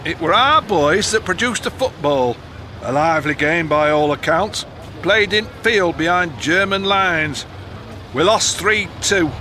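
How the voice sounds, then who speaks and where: Radio drama: blunt, teasing Northern English newspaper editor, high-pitched, with clear vehicle noise behind. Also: male voice, animated, unhurried, sharp tone. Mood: sly, explaining. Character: blunt, canny newspaper editor, man, of the 1910s. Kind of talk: audio drama acting. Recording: noisy moving-car ambience behind.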